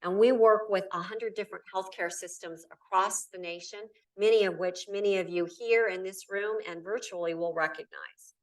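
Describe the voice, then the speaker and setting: A middle-aged woman from Nebraska speaks at a conference, leaning hard on key words and running through lists. Her voice sits at a middle pitch. The mic is some way off, so she sounds distant in the hall. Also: steady tone, moderate pace, few pauses. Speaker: keynote speaker. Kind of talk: conference talk. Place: lecture hall. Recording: voice from far off the mic.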